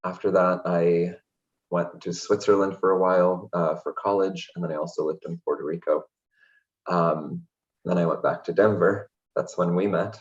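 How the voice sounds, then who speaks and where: Low-voiced man on a dull video call. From Hungary. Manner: relaxed, chatty, many fillers. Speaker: interview guest, language access programs director. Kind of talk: casual interview conversation.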